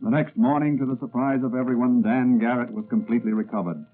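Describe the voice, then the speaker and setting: A man's voice: mid-range voice, steady tone, quick pace. A man giving dramatic scripted narration in the style of 1940s radio. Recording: narrow-band vintage radio recording. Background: music.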